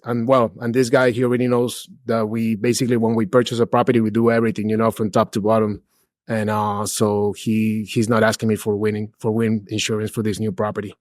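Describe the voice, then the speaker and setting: A man's voice: medium pitch, no room sound. Laid-back man in his forties, dry sound. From Peru. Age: 40s. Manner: relaxed and chatty, drops "dude" and "bro", many restarts. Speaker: guest, real estate investor. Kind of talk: casual interview talk.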